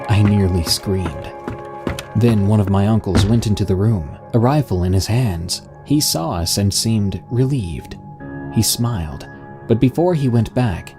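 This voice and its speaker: A man reading a first-person story in an even, measured storytelling style. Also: lowish voice. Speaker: story narrator. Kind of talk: scripted first-person narration. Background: music.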